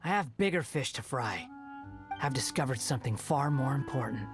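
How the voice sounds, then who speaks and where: A man's voice: medium pitch, expressive, moderate pace, close to an everyday voice. A man voicing an arrogant, scornful young male villain in a video game, haughty, theatrical and menacing. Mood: dismissive. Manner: haughty, dismissive, dramatic. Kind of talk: video game character voice acting. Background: music.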